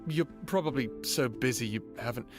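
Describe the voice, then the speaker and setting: A man's voice: mid-range voice, a little fast, over music, lightly acted. A man acting a lovestruck, earnest human in an audio drama, speaking in a hesitant, tender way. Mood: shy, yearning. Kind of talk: first-person audio drama acting and narration.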